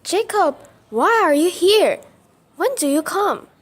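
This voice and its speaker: A woman's voice: extremely high pitch, animated, takes it slowly, exaggerated voice. A woman playing an emotional young female student torn between two loves in an amateur radio play. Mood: surprised, happy.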